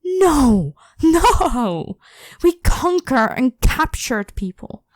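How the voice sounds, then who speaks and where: A woman's voice: high voice, very animated, extremely slow, a little mellow, dry recording, studio quality, exaggerated voice. A woman voicing an evil ancient pharaoh in an ASMR roleplay, imperious, demanding and scornful as she addresses the listener. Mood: outraged, cruel. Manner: haughty, commanding, theatrically scornful.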